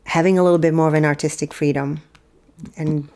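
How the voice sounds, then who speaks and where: Low-voiced woman telling stories. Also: fairly even intonation, brisk, mellow. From Czech Republic. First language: Czech. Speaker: guest. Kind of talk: podcast interview.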